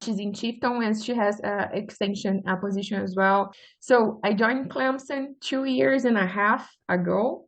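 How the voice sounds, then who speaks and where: A woman's voice: medium pitch, a little slow, low-quality recording. A woman from Brazil with a Brazilian Portuguese accent, giving a webinar talk as a forage extension specialist, explaining earnestly with many filler sounds. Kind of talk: webinar presentation.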